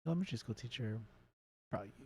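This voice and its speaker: A man's voice: medium pitch, animated, very fast, breathy edge, no echo. Casual, rambling male game streamer, dry sound. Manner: rambling, thinks aloud and swears casually; self-described nerdy enthusiast. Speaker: game streamer hosting a let's play. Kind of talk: casual live gaming commentary and chat.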